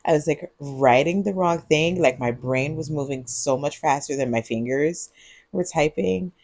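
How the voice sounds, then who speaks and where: A woman vlogger, groggy on cold medicine, talking to her viewers in a husky voice and rambling with giggles. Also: low voice, normal speed, a little mellow, dry recording. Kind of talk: casual vlog monologue. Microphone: earbuds mic.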